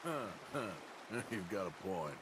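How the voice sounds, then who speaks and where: An actor playing a rugged, world-weary outlaw gang member, dry and colloquial, in a western video game. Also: male voice, deep voice, lively intonation, a little slow, background noise. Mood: wry, businesslike. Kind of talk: video game cutscene acting.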